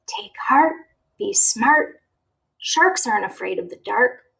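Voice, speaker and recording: A woman plays a young boy shark from a children's storybook. He is nervous but tries to sound brave, often dropping to a whisper. The delivery is unhurried and higher pitched, with a slightly creaky edge. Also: animated, a bit bright, full character voice. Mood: self-soothing, nervous. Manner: rhyming, self-reassuring, often whispering.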